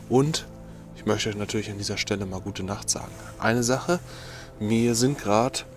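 A man's voice: on the low side, a little hiss or hum. Young man whispering outdoors at night. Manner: hushed whisper, rambling. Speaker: outdoor vlogger. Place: under a tarp outdoors at night.

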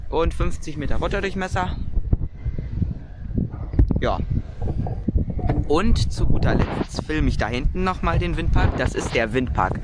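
A man with a somewhat high voice talks casually from behind a phone camera, musing aloud with plenty of ums and ahs. He is out on an open hilltop field, and steady outdoor wind and ambient noise sit clearly under his words. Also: measured pace, long pauses. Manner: chatty, musing aloud with frequent fillers. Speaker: wind turbine vlogger. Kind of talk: casual spoken commentary while filming. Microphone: phone camera mic, speaker behind the camera.

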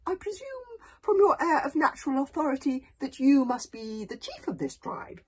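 A woman voicing a charming, flattering man with flowery courtesy in a children's storybook. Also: high-pitched, normal speed, voice pushed up. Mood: suave. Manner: flowery, fawning.

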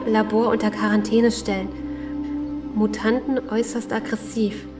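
A woman playing a serious, steady survivor in an audio drama, speaking in a grave and deliberate way. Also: medium pitch, over music, close to an everyday voice. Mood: grave reading. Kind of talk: German audio drama acting.

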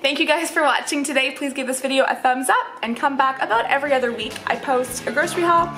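Chatty higher-voiced mom vlogger. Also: female voice, quick pace, bright, forceful tone, faint music behind. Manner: chatty, laughs often, says um and like. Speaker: vlogger, mother of five. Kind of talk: casual grocery haul chat. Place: home kitchen and living room. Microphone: handheld camera at arm's length.

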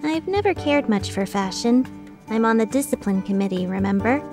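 Woman acting a high-voiced, prim anime schoolgirl. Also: animated, normal speed, music in the background. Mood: prim, guarded. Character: prim, serious teenage girl on discipline committee. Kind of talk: anime fandub radio play acting.

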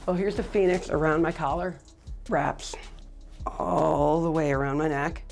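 High-voiced middle-aged man, matter-of-fact. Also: fairly even intonation, a little slow, creaky, faint music behind. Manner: plain, matter-of-fact, with frequent fillers. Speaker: record holder. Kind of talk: interview monologue. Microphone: lapel.

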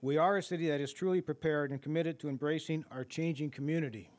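A man's voice: fairly high pitch, steady tone, quick pace, darkish tone. A man in his sixties, a mayor, reading prepared closing remarks steadily at a podium mic in a meeting room. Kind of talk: closing speech.

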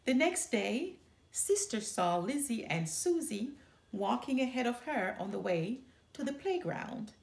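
A middle-aged woman reading a children's story aloud with expressive narration in a living room. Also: a little high, measured pace, creaky. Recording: roomy indoor echo.

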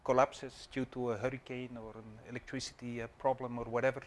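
A man's voice: mid-range voice, little pitch movement, a little fast, a little hiss or hum. A man on a conference panel giving a technical explanation, often repeating words.

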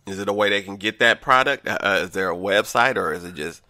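A man's voice: on the low side, fast, pushed and bright, no room sound, clean studio sound. A man hosting a radio show from the area, with a laid-back, casual interviewing manner. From Evanston, Illinois.

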